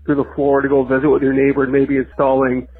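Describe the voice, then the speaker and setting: A man's voice: medium pitch, monotone, fast, slightly rough, dry recording, faint music behind. Rambling man on a tinny phone line. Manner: rambling, amused, laughs while telling. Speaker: regular caller. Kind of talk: rambling voicemail story.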